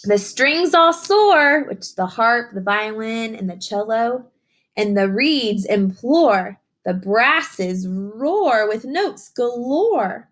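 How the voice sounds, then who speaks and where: A woman's voice: a little high, animated, slow, a bit bright. A woman reading a picture book aloud to young children like a teacher, encouraging them with simple questions and chatty explanations.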